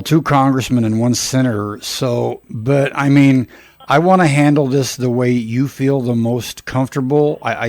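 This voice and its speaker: A man's voice: medium pitch, no room sound. Man interviewing over the phone, dry sound. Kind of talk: phone interview, conversational questioning. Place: telephone call. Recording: recorded telephone call.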